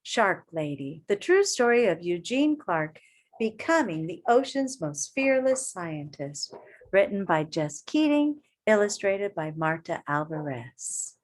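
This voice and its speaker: A woman teacher reading a picture book aloud to children in an expressive way, pausing to ask her young listeners questions. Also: fairly low pitch.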